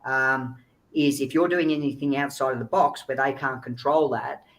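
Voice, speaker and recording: A man's voice: medium pitch, fairly even intonation, moderate pace, a bit bright. Man explaining earnestly. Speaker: guest speaker, child recovery agency founder. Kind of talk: informal interview answers. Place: online video call.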